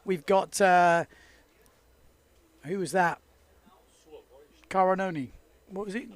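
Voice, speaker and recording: A man's voice: sits high, steady tone, takes it slowly, background noise. A man giving chatty, upbeat live commentary on a windsurfing race, joking with his co-commentator. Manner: chatty, upbeat, jokey banter.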